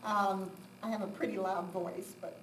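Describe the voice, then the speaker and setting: A woman's voice: mid-range voice, fairly even intonation, normal speed. Woman speaking in a noisy, echoey room. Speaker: guest speaker. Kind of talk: informal spoken talk to a live audience. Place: room with a live audience. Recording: roomy, distant mic, loud background noise.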